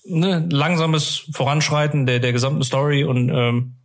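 A man's voice: on the high side, flat delivery, normal speed. A man hosting a fan podcast, chatting casually and colloquially in rambling sentences with frequent tag questions. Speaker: podcast host.

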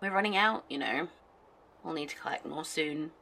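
An actress playing a devout, dismissive cult member in an audio drama, speaking in formal, cryptic phrases. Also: female voice, low-pitched, moderate pace, creaky, bright, forceful tone, heavily acted. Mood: brisk, dismissive.

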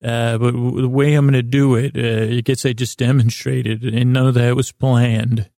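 A man hosting a sleep podcast, rambling through a whimsical bedtime monologue in a halting way, often repeating himself and talking to himself. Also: medium pitch, flat delivery, warm tone, dry recording, studio quality. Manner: halting, meandering, repeats and trails off; whimsical, talks to himself in imagined dialogue. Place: inside a small closet.

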